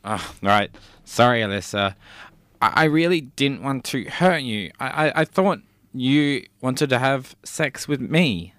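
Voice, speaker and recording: A man's voice: mid-range voice, lively intonation, unhurried, fairly bright tone, dry recording. A man in a comedy podcast, playing a flustered character in an improvised sketch and stammering through his lines.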